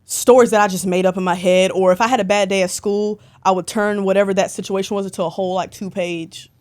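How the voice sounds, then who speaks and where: A woman's voice: medium pitch, fast talker. Chatty young woman telling stories. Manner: chatty, animated storytelling with lots of "like". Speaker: podcast guest, concert photographer. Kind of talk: casual interview chat.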